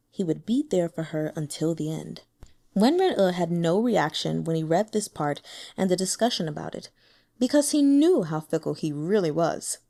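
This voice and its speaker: A woman reading a translated fantasy novel aloud as an audiobook, with expressive, measured storytelling. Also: mid-range voice, gravelly. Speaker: audiobook reader.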